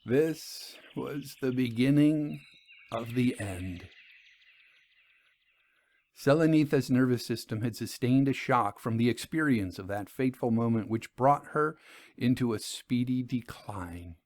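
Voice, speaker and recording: A man's voice: medium pitch, animated, measured pace, a little mellow. A middle-aged man giving a dramatic reading of a gothic first-person tale, grave and sorrowful, his voice rising in anguish at its peaks. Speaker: narrator.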